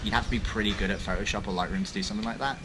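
A man's voice: lowish voice, steady tone, rapid-fire. A young man hosting a casual interview at an outdoor cafe, chatty and informal. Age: young adult. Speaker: host. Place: outdoor cafe terrace in Thailand. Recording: loud street noise behind. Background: traffic and street ambience.

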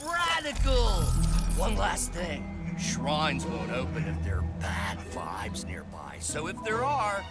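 A cheerful, laid-back alien monk drawls in surfer slang. The delivery is slow and hugely sing-song, as in a video game, over loud music. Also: male voice, fairly high pitch, huge pitch swings, exaggerated voice. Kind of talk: video game cutscene acting.

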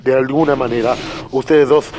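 A man voicing intense, battle-hungry anime fighters in a dramatic Latin American Spanish fan dub, with a gravelly voice. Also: mid-range voice, fairly even intonation, normal speed, background noise, natural voice. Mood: grave, urgent. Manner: dramatic, forceful delivery. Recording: music behind the voice.

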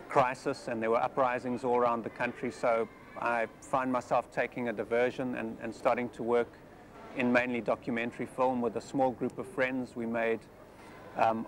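Gravelly South African man, measured and hesitant. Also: medium pitch, slight background noise. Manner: measured, thoughtful, with many hesitations. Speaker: film director. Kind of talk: interview monologue. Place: outdoors on steps of a busy city square.

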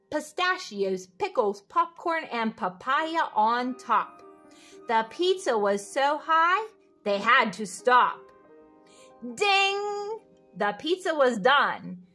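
A woman's voice: sits high, bright, forceful tone. A woman reading a children's picture book aloud expressively, with sing-song refrains and little questions to young listeners. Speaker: read-aloud host.

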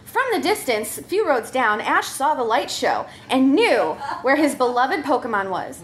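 A woman's voice: sits high, big pitch swings, normal speed. A woman reading a comic story aloud as a performer, giggly and prone to breaking up as she goes. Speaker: comedy troupe member reading a story.

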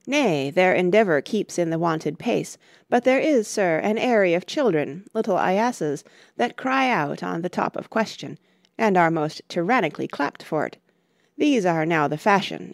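A woman acts a deferential young courtier in a Shakespearean drama. The voice is lowish with a clear creak, set close in a dry recording. The delivery is measured, like someone passing on court gossip. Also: no room sound.

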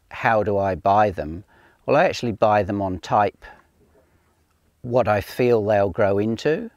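An older Australian farmer with a very deep voice, speaking steadily and plainly. The sound is dry and close, picked up on a lapel mic outdoors. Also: male voice, no room sound. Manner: plain, matter-of-fact, steady. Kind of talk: outdoor farm walk interview. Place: outdoors on a farm paddock by a ute.

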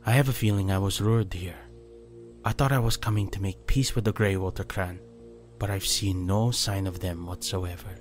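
A man voicing a composed, guarded ninja warrior in a fantasy audiobook, speaking in a measured, serious way. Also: low-pitched, steady tone, faint music behind, close to an everyday voice. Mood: troubled. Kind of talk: audiobook character dialogue.

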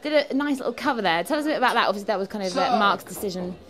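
A woman's voice: medium pitch, animated, rapid-fire. A woman doing a casual music event interview in a chatty, informal way. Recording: loud music and crowd behind. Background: loud music, crowd at a live event.

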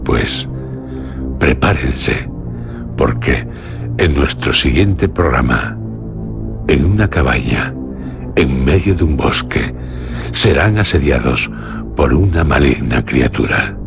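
Extremely deep, gravelly Spanish horror host. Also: male voice, animated, over music. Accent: Castilian Spanish. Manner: portentous, deliberate short phrases. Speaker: radio show presenter. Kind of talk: solemn, suspenseful scripted horror-show narration.

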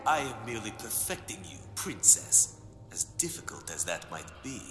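A sly, smug sorcerer purrs with lively pitch movement. His oily game villain lines echo with long reverb. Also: normal speed. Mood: smug mockery. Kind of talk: video game cutscene acting. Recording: echoing long reverb, faint music.

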